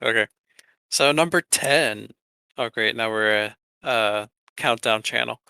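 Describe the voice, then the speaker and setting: Clear, bright-voiced man chatting casually. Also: mid-range voice, unhurried, dry recording. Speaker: podcast co-host. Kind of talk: casual podcast chat.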